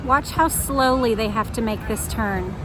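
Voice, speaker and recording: High-voiced woman reading aloud, noisy background. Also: measured pace. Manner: explanatory, pointing things out to the viewer. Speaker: host reading a book aloud with commentary. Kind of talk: read-aloud narration with explanatory commentary.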